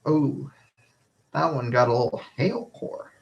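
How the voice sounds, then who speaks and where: Male storm spotter streamer, unhurried and halting, casual commentary to viewers, slightly muffled sound. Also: mid-range voice, lively intonation, creaky. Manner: halting, repeats phrases, addresses viewers as guys.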